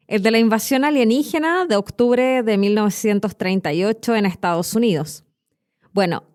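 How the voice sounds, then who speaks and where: A woman's voice: mid-range voice, a little mellow. A woman with a Chilean accent hosting a language podcast, narrating scripted educational stories and addressing the listener directly. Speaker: podcast host and Spanish teacher. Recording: music behind the voice.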